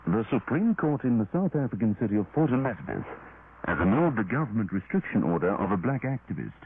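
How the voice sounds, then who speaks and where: Over a thin, lightly noisy 1980s shortwave signal, a man reads the radio news. His voice is fairly low, and his pitch moves widely as he delivers the formal script in a measured way. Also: sing-song, phone-quality sound. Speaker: radio newsreader. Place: radio broadcast studio.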